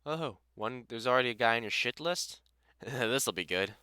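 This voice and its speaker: Guy acting as middle-aged british vampire hunter, teasing and sweary but kind, crisp voice, really dry close audio roleplay. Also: medium pitch, expressive, normal speed, sharp tone, no echo. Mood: scornful, amused. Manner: teasing, sweary, blunt, affectionate. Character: gruff, kindly middle-aged male vampire hunter and carpenter. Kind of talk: audio roleplay acting, intimate one-sided conversation.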